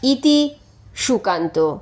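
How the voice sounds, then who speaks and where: A woman's voice: fairly high pitch, sing-song, extremely slow, darkish tone, exaggerated voice. A woman reading aloud as an earnest, formal young man writing a polite letter in a story.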